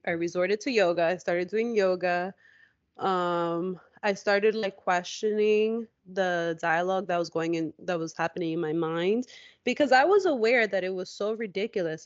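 A woman with a slightly low voice talks as a podcast co-host. Her delivery is informal and reflective, with halting personal storytelling. The recording is dry and a little muffled. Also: no room sound. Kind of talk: reflective casual conversation about personal experience.

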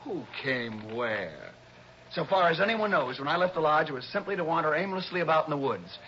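A smug young killer in an old radio drama. His voice is fairly high and very sharp, with precise, sneering menace. The recording is dull and slightly noisy. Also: big pitch swings, brisk. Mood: mocking. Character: sneering, cold-blooded younger male murderer with a rifle. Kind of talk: 1960s radio drama villain acting.

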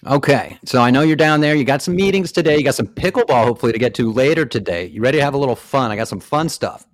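A man's voice: medium pitch, expressive, fast talker, dry recording, studio quality. Upbeat man in his fifties hosting. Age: 50s. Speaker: podcast host. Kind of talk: casual interview chat.

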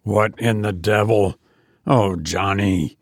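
A man doing a gruff, sleepy, grumbling father. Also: fairly low pitch, slow, no room sound, studio quality, pitched below the performer's own voice. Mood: grumpy.

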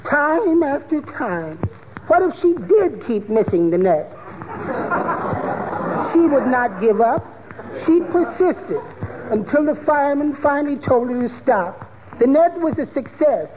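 An actor playing a meek, mild little amateur poet with a timid, precise delivery in 1940s radio comedy. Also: male voice, very high-pitched, big pitch swings, unhurried, a crowd in the background, exaggerated voice. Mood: meek earnest. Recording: muffled, hissy 1940s radio broadcast.

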